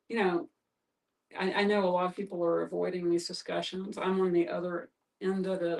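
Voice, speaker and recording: Low, creaky-voiced woman, distant mic. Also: a bit bright. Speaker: discussion facilitator. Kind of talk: reflective group discussion.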